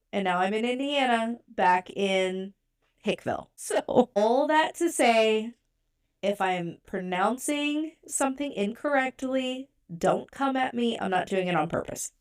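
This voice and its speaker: Brief: woman podcast co-host, born in Europe and raised in the US, casual and self-mocking, mid pitch. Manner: chatty, self-deprecating, candid.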